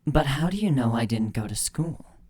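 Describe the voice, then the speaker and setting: A man voicing a stern, cool changeling mother in a storybook reading, speaking in a firm, measured, commanding way. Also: medium pitch, expressive, darkish tone, natural voice. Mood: cool, fearless.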